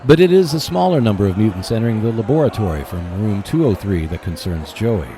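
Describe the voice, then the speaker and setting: A man's voice: fairly low pitch, big pitch swings, brisk, noisy background. A man narrating a scripted radio play as host, in a dramatic storytelling manner.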